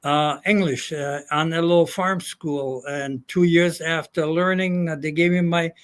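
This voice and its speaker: Older man telling his story, halting. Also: fairly high pitch, fairly even intonation, clean tone. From Germany. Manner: halting, many fillers. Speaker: guest. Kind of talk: casual storytelling.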